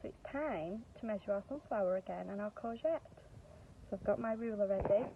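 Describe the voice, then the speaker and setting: A woman's voice: mid-range voice, fairly quick, phone-quality sound, a little hiss or hum. A woman speaking to young children as a teacher and story reader, explaining simply, with questions and emphasis. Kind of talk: speaking to young children, reading a picture book.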